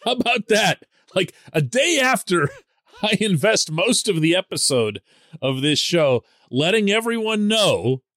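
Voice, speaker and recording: A man hosting a sports podcast as a columnist, giving solo commentary in an animated, emphatic way. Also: fairly high pitch, no echo, clean studio sound. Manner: animated and emphatic, stresses key words.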